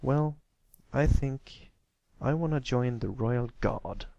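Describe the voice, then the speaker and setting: A man voicing a warm, easygoing adult stallion, an affectionate and reassuring big brother in a storybook reading. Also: mid-range voice, slow pace, vocal fry, dry recording, muffled recording. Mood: earnest.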